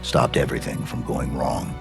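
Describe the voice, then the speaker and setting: An actor playing a terse, steady veteran super-soldier in a video game, with a gravelly, restrained, solemn delivery. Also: male voice, normal speed, over music. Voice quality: very deep, gravelly. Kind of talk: video game cutscene acting. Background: score.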